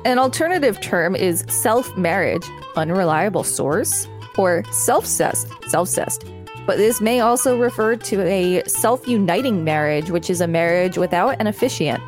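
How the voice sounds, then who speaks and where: A woman's voice: fairly low pitch, animated, a little slow. A woman co-hosting a podcast, reading aloud and commenting casually. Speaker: podcast co-host. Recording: music playing behind the voice.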